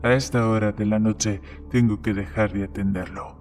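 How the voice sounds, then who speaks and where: A man's voice: on the low side, steady tone, normal speed, darkish tone, soft background music, lightly acted. A man playing a plain-spoken small-town bartender in a horror story, matter-of-fact in manner.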